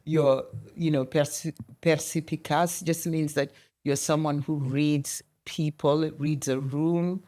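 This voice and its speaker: Animated middle-aged woman telling stories. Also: deep voice, takes it slowly. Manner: animated storytelling, many restarts. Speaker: guest. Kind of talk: conversational interview.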